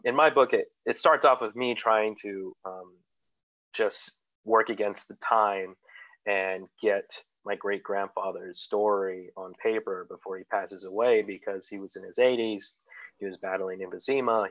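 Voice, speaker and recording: Man in his 30s on a webcam call, lowish voice, muffled dry sound, telling his story. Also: fairly bright tone, dry recording. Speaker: comics writer guest. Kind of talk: casual panel conversation. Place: indoor room. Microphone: video call webcam.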